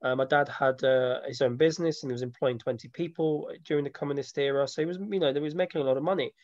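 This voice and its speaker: A man's voice: mid-range voice, fast, a little mellow, no room sound. Dull-sounding man in his thirties, reflective. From born in Slovakia, grew up from age seven in London. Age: 30s. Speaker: interviewee, film maker and photographer. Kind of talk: informal personal interview. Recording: dull lo-fi audio, dry.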